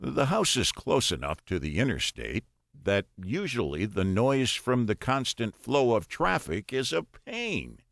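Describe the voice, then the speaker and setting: Male audiobook narrator for first-person fiction, slightly low gravelly voice with fry, close dry recording. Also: very animated, unhurried, a bit bright, dry recording.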